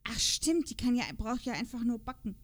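A woman's voice: fairly high pitch, brisk. A woman hosting a podcast in casual chat about audio dramas, talking in a chatty, self-deprecating way. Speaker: podcast host.

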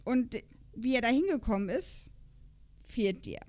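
Woman, higher-pitched voice, podcast host, casual chat, talkative and rambling. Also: expressive, a little slow.

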